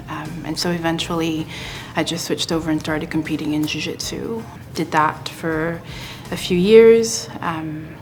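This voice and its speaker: A woman in her forties from West Africa, telling her story in a thoughtful, measured way in a documentary interview. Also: low voice. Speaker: interviewee, combat sports athlete.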